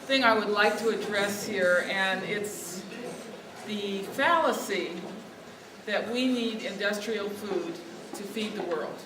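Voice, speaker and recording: A woman with a quiet voice giving a speech without a microphone, speaking earnestly to lift her voice over the room. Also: medium pitch, slow pace, background noise. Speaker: speaker at a lobby day. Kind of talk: outdoor speech without a mic. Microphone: no mic, picked up from a distance. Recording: distant echoey recording with loud ambience.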